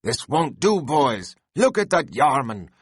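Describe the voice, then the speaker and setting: A hearty, booming whaling mate rallies his oarsmen with loud, exhorting cries in a storybook reading, as a man puts him on. His voice sits higher and brighter than a plain telling, and it sounds dry and close. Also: very animated, unhurried, husky, no room sound. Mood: rousing. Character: jovial, boisterous male whaling mate urging his crew.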